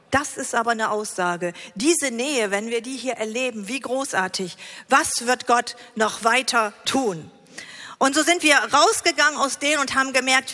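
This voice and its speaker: Female conference preacher, forceful bright tone, enthusiastic delivery, long hall reverb. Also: a little high. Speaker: conference speaker. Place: large congress hall, live on stage. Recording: hall reverb from stage sound system.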